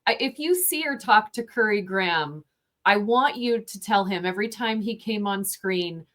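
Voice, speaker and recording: A woman film reviewer hosting an interview, chatty and lively, talking in a casual way with lots of fillers. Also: medium pitch, moderate pace.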